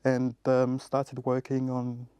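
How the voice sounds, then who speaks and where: A man's voice: mid-range voice, monotone, takes it slowly, dark tone, smooth, dry recording. A man who left IT for psychology research, earnestly recounting his career change. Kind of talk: recorded career testimonial.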